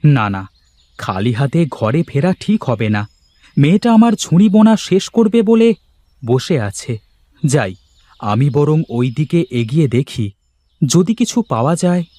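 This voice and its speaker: A man's voice: on the high side, sing-song, moderate pace, pauses often, warm tone, dry recording. A man voicing a poor, weary village basket weaver and father in a fairy-tale cartoon, lamenting and pleading as he speaks. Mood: musing, worried.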